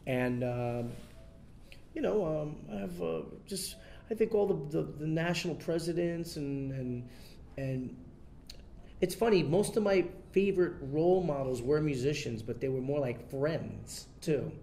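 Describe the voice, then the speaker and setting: A man being interviewed, talking in a relaxed way with many fillers and restarts. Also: on the high side, a little slow, vocal fry, slight background noise. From United States. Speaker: interview guest, son of a famous bandleader. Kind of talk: casual interview.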